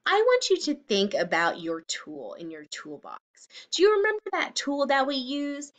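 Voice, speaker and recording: A woman's voice: high voice, wildly swooping pitch, moderate pace. A woman reading a children's story aloud like a teacher, speaking expressively and explaining things simply to young listeners.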